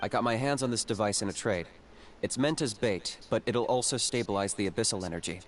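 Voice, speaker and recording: Casting: lowish, clipped voice for a man playing a cool young hunter, faint game noise behind. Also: fairly quick, lightly acted. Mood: businesslike. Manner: terse, matter-of-fact.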